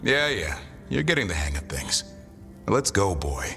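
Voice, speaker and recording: Firm protective fantasy game warrior, lowish voice, really animated, music behind. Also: male voice, a little slow, heavily acted. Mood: encouraging. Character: protective, principled male warrior and griffin handler. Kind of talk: scripted fantasy game dialogue.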